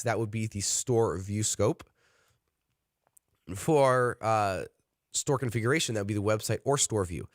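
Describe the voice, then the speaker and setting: A man's voice: lowish voice, no room sound, studio quality. A man leading an online study session, earnest and encouraging, with frequent fillers. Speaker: study group leader.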